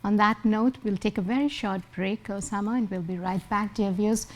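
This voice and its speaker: A woman's voice: medium pitch, fairly even intonation, brisk. A woman hosting a TV interview, encouraging her guest with short affirmations. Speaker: TV host.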